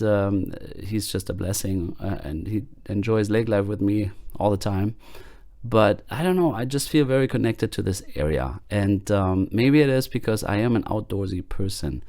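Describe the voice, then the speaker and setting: A man's voice: fairly low pitch, a little mellow, no echo, clean studio sound. A man in his late thirties from Germany with a German accent, hosting his own podcast in a hesitant, casual way with many fillers and restarts. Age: late 30s. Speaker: podcast host. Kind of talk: casual solo podcast talk.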